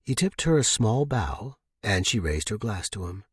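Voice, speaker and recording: A man's voice: fairly low pitch, big pitch swings, moderate pace, slightly rough, no room sound, studio quality. A man reading scripted fiction as an audiobook narrator.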